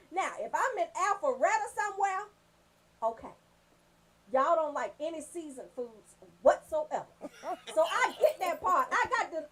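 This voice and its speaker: A woman's voice: very high-pitched, fairly bright tone. A woman doing a casual, comedic rant as a radio host, in a brash, profane, storytelling way.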